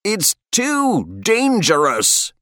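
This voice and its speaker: A man's voice: mid-range voice, very animated, slow, no room sound, clean studio sound, voice pushed up. A man voicing a polite, bookish young spaceman in an audiobook story, earnest and a little anxious. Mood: alarmed.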